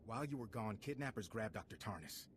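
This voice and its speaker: An actor playing a brisk male security agent commander in a video game, giving urgent, businesslike orders. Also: fairly low pitch, lively intonation, slight background noise, lightly acted.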